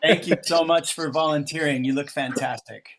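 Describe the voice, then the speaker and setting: A man's voice: fairly high pitch, steady tone, normal speed, noisy background. A man facilitating an interactive improv exercise over a video call, explaining it step by step in an encouraging way. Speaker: workshop facilitator. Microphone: video call microphone.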